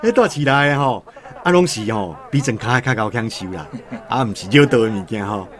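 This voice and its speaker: A man's voice: lowish voice, animated, fairly quick, natural voice. An actor playing a humble, honest, poor vegetable seller and father in a radio drama, colloquial and deferential, with a Taiwanese accent.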